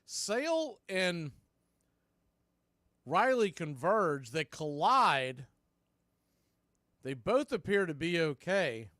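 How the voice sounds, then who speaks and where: A man's voice: on the high side, very animated, drawn-out words, long pauses, fairly bright tone, dry recording, clean studio sound. A man doing live radio baseball play-by-play, with punchy clipped calls and conversational asides.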